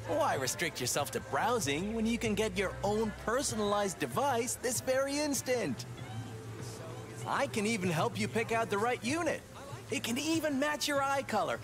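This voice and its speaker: A man voicing an eager, chummy robot salesman in a video game, with a persuasive pitchman's manner. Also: expressive, normal speed, over music. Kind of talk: scripted video game acting.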